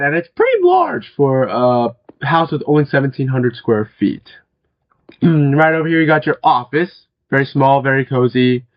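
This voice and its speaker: A young man with a hoarse, worn-out voice, chatting casually as he walks viewers through a house in a video game, with many fillers and throat clearings. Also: medium pitch, dull audio. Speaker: gaming video host. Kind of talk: casual game walkthrough commentary.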